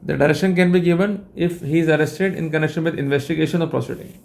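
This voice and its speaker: A man's voice: a little high, steady tone, fast talker, creaky, darkish tone. A man with an Indian accent giving a legal lecture in a formal, wordy, didactic way. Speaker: legal educator and host.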